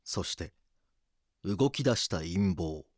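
A man reading scripted documentary drama narration in a grave, measured manner. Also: low-pitched, lively intonation, dry recording, clean studio sound.

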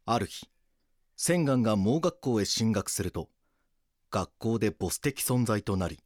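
Low, grave male narrator. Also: a little mellow, no room sound, clean studio sound. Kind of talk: scripted documentary drama narration.

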